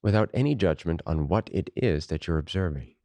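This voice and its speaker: A man leading a guided meditation as a teacher, unhurried and informal, speaking in short phrases with pauses between them. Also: deep voice, animated, warm tone, no room sound.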